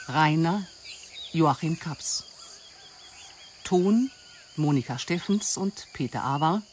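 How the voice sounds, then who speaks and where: Slow, measured female radio announcer. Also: sits low, a little hiss or hum. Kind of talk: slow scripted radio drama credits.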